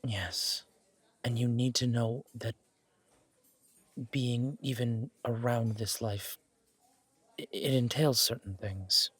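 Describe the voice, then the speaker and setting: A man playing an earnest, protective, wry mafia boss on a first date in a romantic audio roleplay, speaking in a measured, intimate way. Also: medium pitch, fairly even intonation, a bit bright, slightly rough, no room sound. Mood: earnest, grave.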